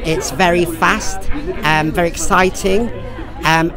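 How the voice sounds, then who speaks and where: Effusive English man amid loud crowd chatter. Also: high voice, fairly even intonation, slow pace, over music. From Lincoln, England. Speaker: pantomime actor interviewee. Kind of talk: casual interview chat.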